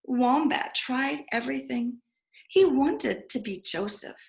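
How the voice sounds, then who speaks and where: Animated woman reading a children's story. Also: sits high, vocal fry. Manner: expressive, deliberate storytelling. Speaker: preschool storyteller. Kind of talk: children's story read-aloud. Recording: echoey room.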